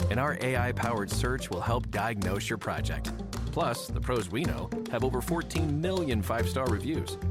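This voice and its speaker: Lively American ad announcer over loud music. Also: male voice, mid-range voice. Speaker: commercial announcer. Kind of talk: scripted radio advertisement narration. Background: loud music bed.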